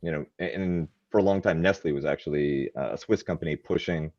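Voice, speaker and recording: A man who grew up in Canada, talking in a podcast conversation in a halting way, with many ums and restarts. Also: very deep voice, darkish tone, no room sound. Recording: dry, muffled lo-fi mic.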